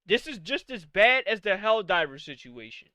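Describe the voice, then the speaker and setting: High, bright-voiced exasperated young man. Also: very animated, normal speed, no echo. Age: 20s. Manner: exasperated, profane, rhetorical questions. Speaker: podcast host. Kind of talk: live-streamed casual rant.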